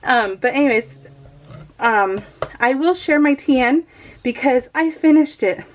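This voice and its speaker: A woman chatting casually to her viewers as a craft vlogger, in an excitable, rambling, giggly way. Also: high-pitched, measured pace, a little hiss or hum. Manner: addresses viewers familiarly. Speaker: craft vlogger hosting a scrapbooking video.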